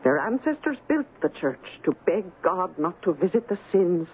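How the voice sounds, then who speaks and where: Stern superstitious scottish highland woman, old radio play, very animated delivery, thin noisy recording. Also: normal speed, narrow, tinny audio. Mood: grim, dramatic. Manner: grave, archaic, dramatic phrasing. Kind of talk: radio drama storytelling.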